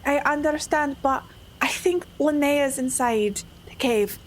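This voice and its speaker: A woman's voice: sits high, slow pace. A woman talking casually as a player in a tabletop roleplaying game. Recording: faint background ambient noise.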